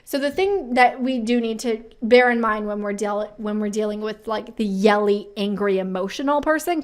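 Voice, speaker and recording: A woman giving casual, opinionated commentary as a career advice host, talking in an animated, emphatic way with rhetorical questions. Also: on the high side.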